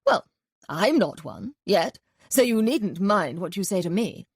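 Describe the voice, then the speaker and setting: A woman reading an audiobook, voicing a cordial, airy, well-spoken gentleman. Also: medium pitch, big pitch swings, measured pace, breathy edge, dry recording, studio quality, exaggerated voice. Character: cordial, breezy upper-class gentleman.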